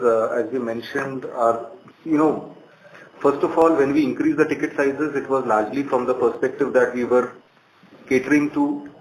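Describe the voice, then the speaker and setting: A man with an Indian accent speaking as a company executive, giving measured, halting explanatory answers on a conference call. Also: medium pitch. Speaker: bank executive answering analyst questions. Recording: roomy conference call, faint noise.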